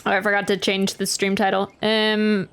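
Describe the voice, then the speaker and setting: A woman's voice: medium pitch, monotone, normal speed, a bit bright, smooth. A woman live-streaming a game, chatting casually, thinking aloud and answering her viewers. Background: faint game music.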